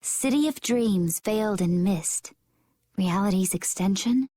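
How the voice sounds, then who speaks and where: A woman playing a gentle, earnest young heroine in a video game, with careful delivery. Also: mid-range voice, unhurried, no room sound, lightly acted. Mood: solemn.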